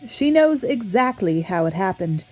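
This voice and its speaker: A woman's voice: medium pitch, sing-song, measured pace, a little mellow. A woman reading scripted narration for a student comedy radio play in a wry, chatty storytelling way.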